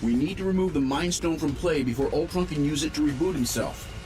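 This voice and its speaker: An actor playing a wry, quick-thinking tech hero in a video game, casual and expressive. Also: male voice, on the high side, close to an everyday voice. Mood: concerned. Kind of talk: video game cutscene acting. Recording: loud music behind. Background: loud music.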